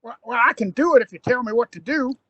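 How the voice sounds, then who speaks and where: A woman's voice: a little high, animated, quick pace, fairly bright tone, no room sound, highs cut off. A woman playing an eager, informal young male traveler in an audio drama.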